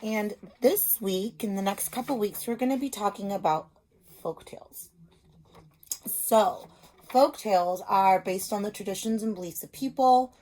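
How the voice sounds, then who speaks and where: A woman's voice: mid-range voice, a little slow, mellow, poor audio. A woman speaking as a reading teacher, explaining simply and reading a story aloud to children, stressing key words.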